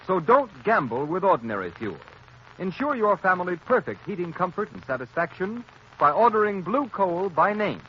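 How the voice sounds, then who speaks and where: Animated male 1930s radio announcer. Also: high voice, moderate pace. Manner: formal, emphatic salesmanship. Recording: muffled, noisy 1930s radio recording.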